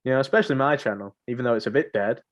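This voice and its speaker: Young male podcast co-host, average pitch. Slangy, repetitive, rambling and jokey, casual throughout. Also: racing, muffled recording. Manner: joking, rambling, slangy, repeats himself. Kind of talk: casual banter.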